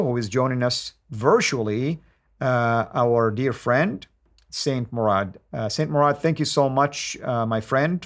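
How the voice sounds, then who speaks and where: A man hosting a studio interview, courteous and welcoming toward his guest. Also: mid-range voice. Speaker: host.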